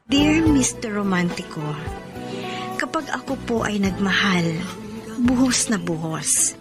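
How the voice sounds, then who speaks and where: A woman's voice: medium pitch, animated, slow pace. An actress in a Filipino radio drama playing a devoted, wounded woman leaving her partner, in a heartfelt, melodramatic way. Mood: wistful narration. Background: background music.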